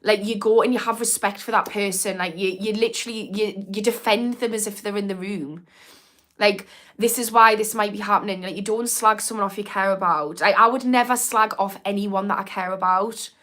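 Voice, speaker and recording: A woman's voice: medium pitch, steady tone, a little fast, fairly bright tone. Northern english lady from newcastle, 30s, straight talking advice on a stream, says like a lot. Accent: mild northern English (Newcastle). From Newcastle, England. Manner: frank, chatty, lots of "like" fillers. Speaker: mindset livestream host and former therapist. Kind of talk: casual livestream chat reading comments.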